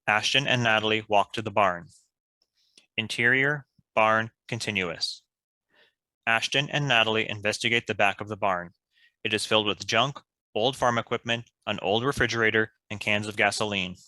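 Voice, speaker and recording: Male script narrator, low-pitched yet very bright and forceful, reading stage directions. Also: moderate pace, no room sound, rough recording.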